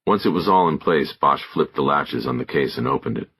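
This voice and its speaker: A man reading scripted narration of a crime thriller audiobook in a gravelly, husky voice. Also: very deep voice, brisk, fairly bright tone.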